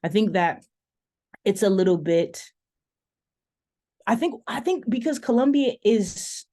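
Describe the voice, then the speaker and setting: A woman professor speaking on an online panel, thoughtful and measured, with frequent "um" pauses as she works through her ideas. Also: medium pitch, expressive, dark tone. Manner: thoughtful, many "um" pauses, stretches key words. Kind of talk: panel discussion remarks over video call.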